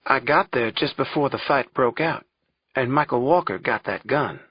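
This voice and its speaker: A man voicing a frightened, distraught young woman on the witness stand, in a faint girlish voice with halting delivery. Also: mid-range voice, animated, husky, dry recording, lo-fi sound. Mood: timid, faint. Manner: halting, anguished.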